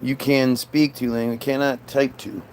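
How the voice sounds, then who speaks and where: A man's voice: mid-range voice, flat delivery, normal speed, slight background noise. A man in a casual, combative political rant, dismissive and sweary as he answers chat comments. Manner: frequent swearing.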